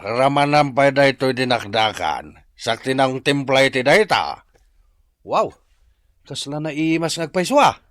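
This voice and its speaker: A man's voice: mid-range voice, animated, unhurried, fairly bright tone, no echo. A man with an Ilocano accent acting a jovial village drinking buddy in a comedy radio drama. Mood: tipsy, jovial.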